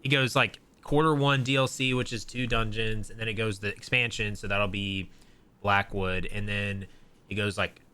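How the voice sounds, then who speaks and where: A man's voice: lowish voice, level tone, normal speed, pushed and bright, smooth. A young man co-hosting a gaming podcast, chatting casually and rambling with many fillers and restarts. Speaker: podcast co-host.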